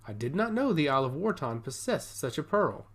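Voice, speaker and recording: A man's voice: on the high side, big pitch swings, a little fast, breathy edge. A man playing a forward, self-assured young nobleman in a fantasy story, with courtly, florid phrasing. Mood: flattering, smitten.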